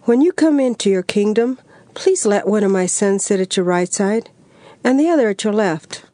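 Actress as soft-toned pleading mother. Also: medium pitch, lively intonation, normal speed, dry recording, studio quality, close to an everyday voice. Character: humbly begging mother of grown sons. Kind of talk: dramatized Bible audio.

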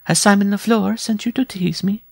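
A man reading a story aloud, voicing a big, robust circus giantess in a teasing falsetto. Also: moderate pace, vocal fry, slightly rough, no room sound, clean studio sound, exaggerated voice. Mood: mocking.

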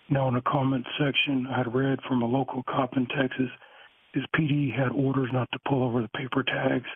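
A man calling into a talk show, rambling with many halting restarts and fillers. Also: mid-range voice, husky. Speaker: caller. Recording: tinny phone line.

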